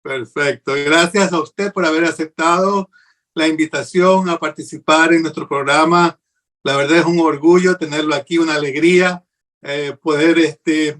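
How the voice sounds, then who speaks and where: A man's voice: on the high side, fairly bright tone. Courteous man hosting an interview. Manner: courteous, upbeat, many fillers. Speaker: host.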